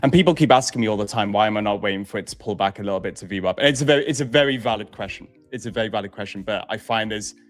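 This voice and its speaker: A man hosting a live trading stream, from Wales but without a Welsh accent, explaining probabilities in relaxed, casual chat. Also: lowish voice, very fast, few pauses, faint music behind. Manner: explains stats plainly, relaxed banter.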